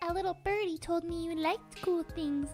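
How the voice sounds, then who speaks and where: Childlike book spirit: extremely high, cute, gentle, chatty and wistful, cartoon dub with music underneath. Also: female voice, normal speed. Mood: playful, affectionate. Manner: cutesy, rambling, tender, playful slang. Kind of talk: comic dub voice acting.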